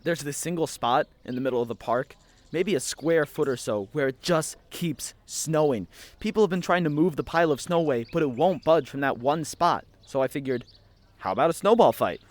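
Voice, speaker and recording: A man's voice: on the high side, normal speed, close to an everyday voice. A man playing a cheeky, reckless friend in an audio drama, teasing and theatrical in manner. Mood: playful. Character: cheeky, reckless, mischievous male friend of a reporter. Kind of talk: scripted audio fiction acting.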